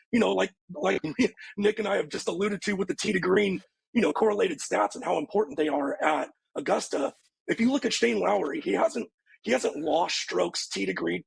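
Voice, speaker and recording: Husky, gravelly male analyst, laid back, dry close sound. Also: high-pitched, no echo, poor audio. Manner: laid-back, filler-heavy. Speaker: golf betting analyst. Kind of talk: casual sports betting chat.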